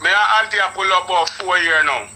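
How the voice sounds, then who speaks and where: A man's voice: high-pitched, fairly quick, sharp tone. A man in his fifties with a Jamaican accent on a phone call, indignant and swearing, brushing off the caller. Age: 50s. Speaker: prank call target. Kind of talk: phone conversation.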